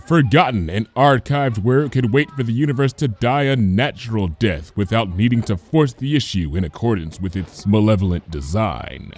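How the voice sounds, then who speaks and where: A man reading scripted fan fiction as an expressive storyteller. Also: mid-range voice.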